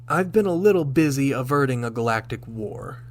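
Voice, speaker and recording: A man's voice: medium pitch, expressive, fairly quick, lightly acted. An actor playing a bold, wry male starship captain in a sci-fi radio drama.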